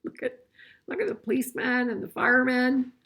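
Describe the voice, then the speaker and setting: Older woman with animated storytime delivery. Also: sits high, a little fast. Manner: expressive, asking listeners questions as she goes. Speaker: grandmother reading a picture book to children. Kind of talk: children's storybook read-aloud with conversational asides and questions.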